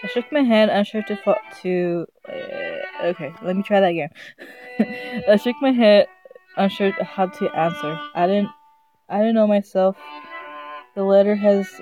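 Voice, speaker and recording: A woman's voice: medium pitch, a little slow, warm tone, soft background music, low-quality recording. A woman streaming a visual novel, reading it aloud casually, often stumbling over the words in a giggly way. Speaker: game streamer.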